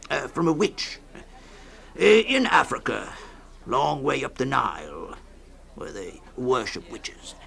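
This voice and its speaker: A man playing a rambling old storyteller fond of a drink in a dramatised short story, hemming, hawing and repeating himself in a creaky voice. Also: medium pitch, huge pitch swings, slow pace, long pauses, a bit bright, full character voice. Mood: musing, emphatic. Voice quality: creaky, gravelly.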